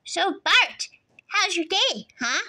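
A woman's voice: very high-pitched, big pitch swings, slow, sharp tone, heavily acted. A woman voicing a cartoon family, a fussy mother and her children, in a fan-made performance. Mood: chatty.

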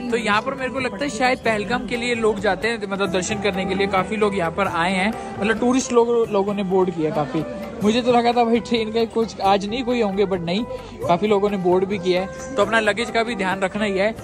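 A young man filming a travel vlog outdoors on a snowy train platform and inside a train, chatting excitedly with his viewers. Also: sits high, fairly quick. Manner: excited, chatty, keeps calling viewers brother. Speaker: travel vlogger. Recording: background music mixed under the voice. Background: music.

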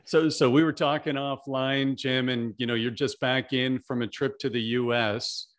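A man's voice: medium pitch, fairly even intonation, normal speed. A middle-aged man from Canada hosting an interview over a video call, friendly and full of "you know" fillers. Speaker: podcast host. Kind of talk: casual interview chat. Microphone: video call, desk mic.

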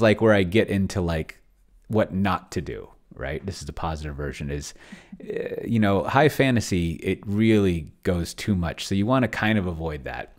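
A man giving casual screen-share commentary, thinking aloud in a rambling, hesitant way with wry, self-deprecating humour. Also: low voice. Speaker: solo tabletop roleplayer, video host. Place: indoors at a computer, screen recording.

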